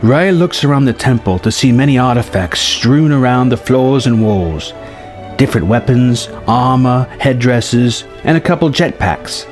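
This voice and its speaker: A man narrates a scripted adventure story in a medium-pitched voice. He reads as a storyteller, and music plays behind him. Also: animated, measured pace, darkish tone. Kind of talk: scripted story narration, reading a film treatment. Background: background music.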